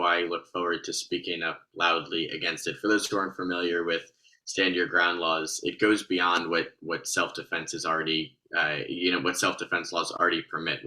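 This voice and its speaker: A man's voice: deep voice, sharp tone, poor audio. An American man, a state senator, giving firm, articulate explanations on a remote panel. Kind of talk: explanatory public panel answer. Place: remote video meeting.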